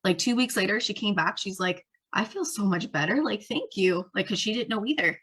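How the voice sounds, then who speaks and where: A woman's voice: mid-range voice, expressive, fairly quick, a bit bright, slightly rough. A woman guest on a podcast telling her story casually, with many fillers. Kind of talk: casual interview chat.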